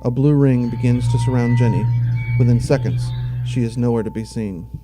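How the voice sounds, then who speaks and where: A man's voice: mid-range voice, steady tone, moderate pace, loud music behind the voice. A man narrating a scripted audio drama, telling the action in the present tense.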